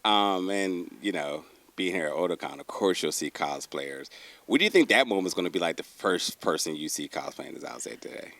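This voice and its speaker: Deep-voiced male interviewer. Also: lively intonation, gravelly, fairly bright tone, no echo. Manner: halting, rambling questions. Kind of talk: conversational interview.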